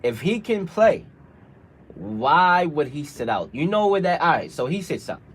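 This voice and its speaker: A man's voice: on the high side, sing-song, unhurried, breathy edge. A man hosting a casual sports debate, making his points emphatically with repeated rhetorical questions. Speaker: radio show host.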